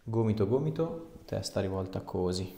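A man's voice: fairly low pitch, lively intonation, normal speed, vocal fry, dark tone. A man, working as a chiropractor, giving short repeated coaxing instructions and chatting casually with joking asides. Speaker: chiropractor treating a patient. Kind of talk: hands-on instructions and casual chat with patient. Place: small indoor treatment room. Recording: echoey small room, faint background noise.

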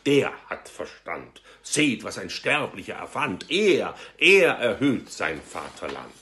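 A man voicing an excitable crowd of townsfolk in a storybook reading, exclaiming in pompous awe. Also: on the low side, takes it slowly, slight background noise, full character voice. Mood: amazed. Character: excitable crowd of townsfolk marvelling at new fashions.

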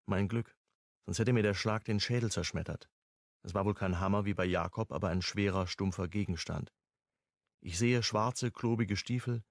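An actor playing a hunted, wry amateur sleuth, narrating and acting in a crime radio play in a clipped, brooding way. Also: male voice, no room sound, studio quality. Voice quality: low, husky. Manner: clipped, brooding, first-person telling.

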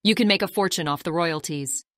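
A woman's voice: on the low side, fairly quick, fairly bright tone, no room sound, clean studio sound, natural voice. An actress playing a businesslike female former army officer in an audiobook drama. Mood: matter-of-fact.

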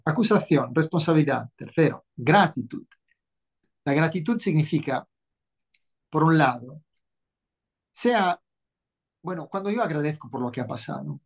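Husky middle-aged man. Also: a little high. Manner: earnest, didactic, enumerating points. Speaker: interview guest, author and workshop teacher. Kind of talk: explanatory talk in a video interview. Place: living room, indoors, on a video call. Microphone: video call, no mic visible.

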